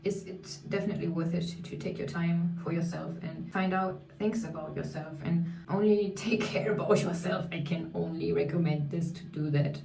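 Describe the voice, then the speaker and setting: A woman's voice: on the low side, fairly even intonation, moderate pace, vocal fry, few pauses, mellow. A woman in her thirties, a beauty vlogger, chatting candidly while doing her makeup, speaking English as a second language and sometimes searching for words. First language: not English. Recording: echoey room, mic at a distance, faint music.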